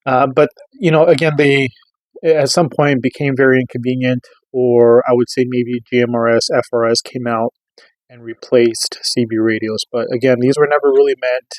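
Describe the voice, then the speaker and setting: Man, American accent, mid-range voice, little pitch variation, casual reviewer, rambling informal explanations, dry close sound. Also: flat delivery, normal speed, no room sound, low-quality recording. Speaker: radio reviewer. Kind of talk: casual product review monologue.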